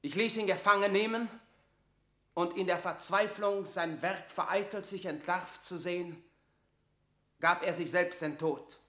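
An actor playing a stern, calculating, proud lord at court in a classical radio drama, declaiming forcefully. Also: male voice, sits high, fairly even intonation, unhurried, roomy sound. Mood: accusing. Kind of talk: classical German stage-verse radio drama.

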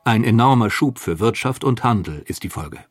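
A man narrating a history documentary in a calm, even, scripted way. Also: on the low side, moderate pace, dry recording, clean studio sound, faint music behind.

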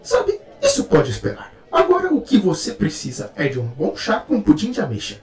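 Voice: mid-range voice, huge pitch swings, moderate pace, darkish tone, soft background music. An actor playing a chatty, homely, fussing host in an audio drama. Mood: fussing, caring. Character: chatty, homely alien host who loves pudding.

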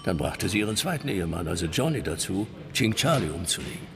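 Radio crime drama: insistent male interrogator, low-pitched, rough, creaky cop voice. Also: very animated, fast talker, heavily acted. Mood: probing, insistent. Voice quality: husky, rough. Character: probing, insistent male police detective interrogator.

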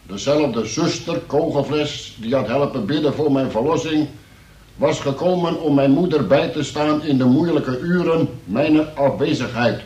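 A man's voice: fairly high pitch, measured pace, a little mellow, reverberant, distant mic, lo-fi sound, close to an everyday voice. An actor playing a wry arrested man who narrates his statement in mock-formal style for a Dutch radio play. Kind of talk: scripted first-person radio play narration.

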